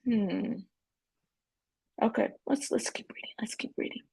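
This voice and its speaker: A woman hosting a commentary channel, talking casually with many fillers and reading a post aloud at an unhurried pace. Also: fairly high pitch, steady tone, vocal fry, warm tone, husky, no room sound.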